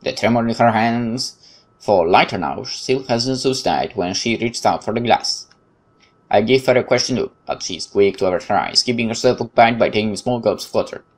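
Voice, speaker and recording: A male game streamer with a Polish accent reads visual novel narration aloud. His reading is expressive, with an occasional stumble and brief commentary. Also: fairly low pitch, moderate pace.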